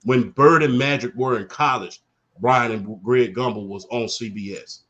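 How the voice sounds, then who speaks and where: Male sports podcast panelist, medium pitch, emphatic and declarative, muffled lo-fi sound. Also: normal speed, a bit bright. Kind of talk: spoken tribute in panel talk.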